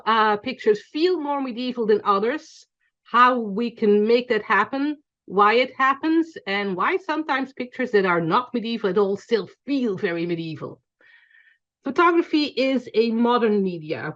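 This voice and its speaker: A woman's voice: medium pitch, highs cut off. Chatty Belgian woman teaching online. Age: 40s. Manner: chatty, frequent ums, self-correcting. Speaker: class teacher. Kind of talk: online class presentation. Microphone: video call.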